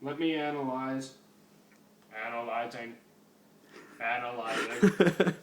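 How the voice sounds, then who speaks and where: A man voicing a stilted, analytical cyborg policeman with a monotone, mechanical delivery in a fan fiction reading. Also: mid-range voice, takes it slowly, natural voice. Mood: flat, analytical. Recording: echoey room, distant noisy mic.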